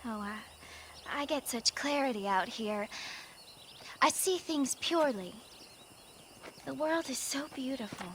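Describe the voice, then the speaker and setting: Game role: frail pious young woman, very high, slow, halting, faint background noise. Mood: serene. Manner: halting, dreamy, fervently pious. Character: frail, devout young woman, feverish and rapturous. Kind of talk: video game dramatic acting.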